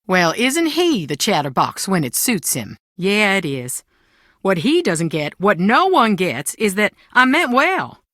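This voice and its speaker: Game role: middle-aged mother, proud and anxious, defensive, lively swooping delivery, close dry sound. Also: medium pitch, a little slow, no echo. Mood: defensive, indignant. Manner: defensive, theatrical, emotionally expressive. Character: proud, anxious Southern mother guarding family secrets. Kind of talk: video game voice acting.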